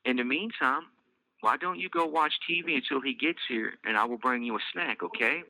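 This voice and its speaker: A man voicing a young mother, soft and measured with a stern edge. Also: mid-range voice, no echo, pitched above the performer's own voice, low-quality recording. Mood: patient.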